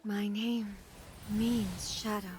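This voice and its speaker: A woman playing a stern, grieving goddess ruler in an anime game, speaking in a grave, measured, formal way. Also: mid-range voice, level tone, a little hiss or hum, natural voice. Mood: solemn, sorrowful. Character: solemn stern electro archon goddess, resolute and grieving. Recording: loud music over the voice.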